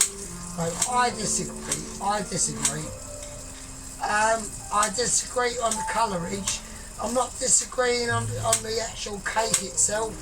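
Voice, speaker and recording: Slow, croaky woman cooking. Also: mid-range voice. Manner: rambling, keeps asking "right?", repeats herself. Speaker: home cook and vlogger. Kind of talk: casual cooking commentary, judging a bake-off. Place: home kitchen while cooking. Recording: distant mic with clear music behind. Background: music.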